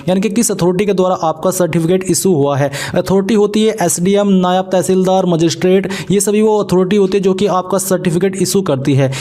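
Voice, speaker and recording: A man's voice: high voice, warm tone. A man presents an online tutorial. He explains each step in an instructional manner, dropping English terms into his narration.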